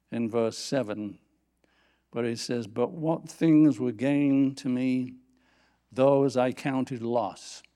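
A man preaching a sermon from a church platform, lifting his voice for scripture quotes and dropping low for quiet asides. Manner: animated, rising pitch on scripture quotes. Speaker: pastor.

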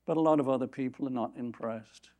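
A man preaching a sermon from a church platform, lifting his voice for scripture quotes and dropping low for quiet asides. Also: quick pace, lo-fi sound. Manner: animated, rising pitch on scripture quotes. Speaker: pastor.

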